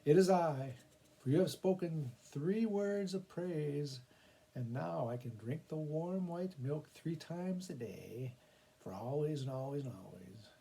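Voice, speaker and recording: A man voicing a sly, self-possessed cat in a storybook, speaking smoothly and deliberately. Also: fairly high pitch, animated, warm tone, exaggerated voice, low-quality recording. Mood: smug.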